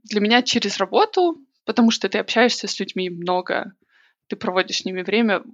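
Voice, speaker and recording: A woman speaking as a podcast guest in casual, reflective talk, thinking aloud with pauses. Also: mid-range voice. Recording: dull lo-fi recording.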